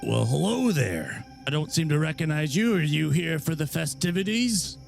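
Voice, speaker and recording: A man's voice: on the high side, sing-song, normal speed, creaky, soft background music, voice pushed up. A man voicing an overenthusiastic bearded male dwarf cultist who pushes his welcome on newcomers. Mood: welcoming. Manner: eager, pushy greeter. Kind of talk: tabletop game character voice.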